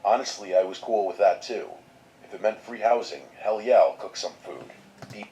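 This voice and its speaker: Male narrator, deep voice, steady scripted read of a horror story. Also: moderate pace, highs cut off, slight background noise. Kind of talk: scripted first-person narration.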